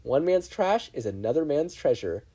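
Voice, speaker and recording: Animated, soft-toned, slightly husky story narrator. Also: medium pitch, normal speed. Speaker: podfic narrator.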